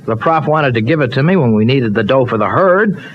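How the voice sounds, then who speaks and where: A man's voice: medium pitch, lively intonation, a little fast, lightly acted. An actor playing an eager, streetwise but well-meaning young dairyman, with loose colloquial American speech in an old radio soap. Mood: doubtful. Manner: colloquial, folksy slang with loose grammar. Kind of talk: old-time radio soap opera acting. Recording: dull, noisy old radio recording.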